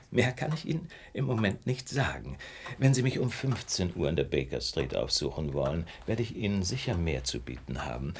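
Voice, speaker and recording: Self-assured detective, wildly varied intonation. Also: male voice, medium pitch, a bit bright, slightly rough. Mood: brisk, assured. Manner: articulate, lecturing, theatrical. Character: brilliant, self-assured male detective, precise and superior. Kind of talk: German radio play acting.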